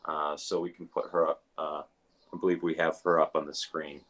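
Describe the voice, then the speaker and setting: A minister hosting an online service, giving announcements and introducing a guest in a halting way, with many filler sounds. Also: male voice, very deep voice, little pitch movement, fairly bright tone.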